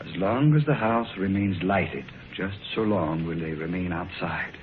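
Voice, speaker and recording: An actor playing a grave, fatalistic doctor of the occult in an old radio drama, solemn and emphatic. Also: male voice, low voice, a little slow. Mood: resigned, grim. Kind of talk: 1950s radio drama acting. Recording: tinny, noisy old radio recording.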